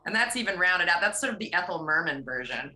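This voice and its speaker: A woman singer who grew up near Pittsburgh, chatting casually and at length in an interview. She has a low, strong rock voice. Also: fast talker. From USA, outside Pittsburgh. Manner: chatty, animated, rambling. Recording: roomy echo.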